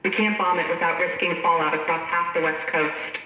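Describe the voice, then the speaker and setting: A man's voice: a little high, level tone, brisk, dull audio. A man playing a hard-line military adviser, speaking urgently and formally in a horror audio drama. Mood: urgent, resolute. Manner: formal, forceful. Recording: very echoey, distant, faint noise.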